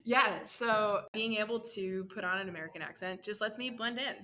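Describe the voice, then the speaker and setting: A woman answering interview questions in a chatty, animated way. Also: medium pitch, normal speed, heavy background noise.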